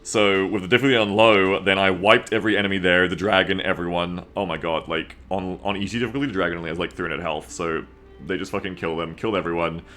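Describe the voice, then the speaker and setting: A man with a fairly deep voice talks off the cuff as a game streamer. He rambles in an excitable, sweary way, chatting casually to his viewers. Soft music plays behind him. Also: fast. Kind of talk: casual livestream chat to viewers.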